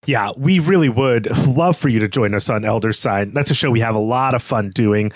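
A man's voice: mid-range voice, a little fast, dry recording, clean studio sound. A man co-hosting a podcast, talking in an informal, conversational way. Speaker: podcast co-host. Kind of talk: casual podcast chat.

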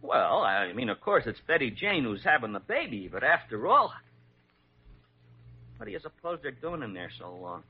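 A man's voice: medium pitch, big pitch swings, normal speed, husky. An actor playing a fretful, earnest young father-to-be, anxious and flustered, in an old radio drama. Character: jittery, fretful, eager young expectant father. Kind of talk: 1940s radio comedy-drama acting. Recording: dull, muffled vintage radio recording.

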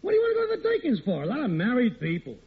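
Sly workmate, wisecracking, coaxing, slangy, animated intonation, vintage radio comedy, narrow phone-like sound. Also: male voice, very high-pitched, very fast, very noisy, exaggerated voice. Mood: cajoling. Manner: slangy, cajoling. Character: sly, wisecracking married workmate tempting his pal. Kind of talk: 1940s radio sitcom acting. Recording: tinny old radio recording.

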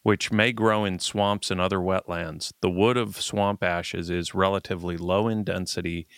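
A man's voice: low voice, steady tone, moderate pace, fairly bright tone, dry recording, clean studio sound. A man co-hosting a casual chat in an informal, wry and irreverent way. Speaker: podcast co-host.

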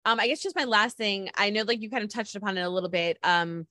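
A woman's voice: mid-range voice, quick pace, bright, forceful tone, dry recording, clean studio sound. A woman interviewer chatting casually in an informal, effusive way.

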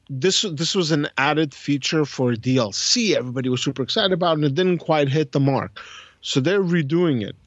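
A man's voice: a little high, no echo, lo-fi sound. A man co-hosting a casual podcast, explaining at length and correcting himself as he thinks aloud. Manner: rambling, thinks aloud with many self-corrections. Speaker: podcast co-host. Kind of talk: casual podcast chat about strategy games.

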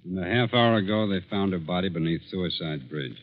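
An actor playing a gruff police sergeant reporting to his superior in a 1940s radio drama. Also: low voice, expressive, fairly quick, loud music behind the voice. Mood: grim. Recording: muffled phone-like old radio.

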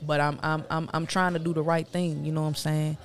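Woman, late twenties, rapid delivery, street slang, emphatic repetition, candid interview guest. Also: low voice, little pitch movement. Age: late 20s, turning 30. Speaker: podcast guest, rapper. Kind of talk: candid casual interview talk.

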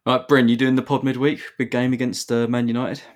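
A man's voice: mid-range voice, little pitch movement, fairly quick. A man hosting a casual football podcast and teasing his co-hosts with banter. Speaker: podcast host. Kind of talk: casual chat among football fans.

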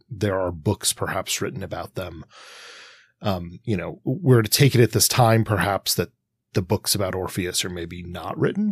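Lower-voiced man musing on a discussion podcast. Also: husky, no echo. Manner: reflective, hedging, thinking aloud. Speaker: podcast co-host. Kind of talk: casual analytical book discussion.

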